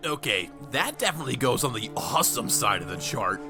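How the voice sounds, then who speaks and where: A man voicing a goofy, excitable young ninja turtle who talks in a playful, teasing way. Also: mid-range voice, brisk, over music, lightly acted.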